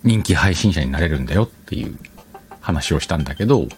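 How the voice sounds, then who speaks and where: A man's voice: extremely low pitch, expressive, a little fast, a little hiss or hum. A man hosting a casual advice talk, explaining conversationally and questioning his own phrasing as he thinks aloud. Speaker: podcast host.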